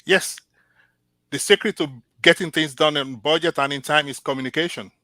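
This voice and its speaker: A man from Nigeria, a Yoruba speaker by birth, answers interview questions earnestly and with care. His voice is bright and forceful, and the recording sounds close and dry. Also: fairly high pitch, no echo. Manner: earnest, measured, with restarts. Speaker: job candidate. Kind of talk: mock job interview answers.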